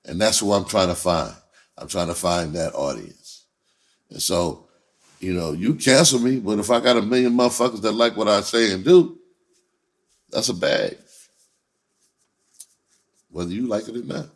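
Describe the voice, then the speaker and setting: A man's voice: on the low side, animated, moderate pace, long pauses. A man talking casually and candidly in a blunt, unfiltered, profane manner. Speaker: entertainer, rapper and writer.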